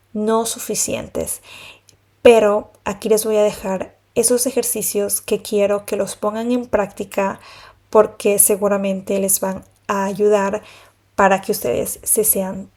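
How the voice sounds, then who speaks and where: A woman in her thirties hosts her own podcast and tells her listeners about her life in an earnest, reflective way. Her voice is mellow and warm rather than bright. She speaks unhurriedly, breaking her thoughts into short phrases with pauses between them. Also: mid-range voice. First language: Spanish. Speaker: podcast host, pilates and yoga teacher. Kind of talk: personal reflective monologue.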